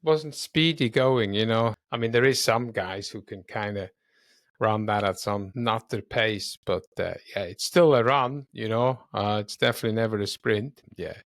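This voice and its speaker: A man's voice: lowish voice, normal speed, no echo, studio quality. A man from Switzerland and South Africa, a running coach and podcast guest, talking in an upbeat way and explaining at length with restarts. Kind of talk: casual interview chat.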